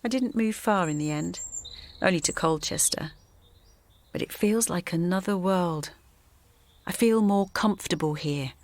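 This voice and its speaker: A woman's voice: on the low side, unhurried, pauses often, no room sound. An actress playing a wistful, reflective woman from small-town Essex, delivering a first-person audio drama monologue. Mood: reflective, quiet contentment.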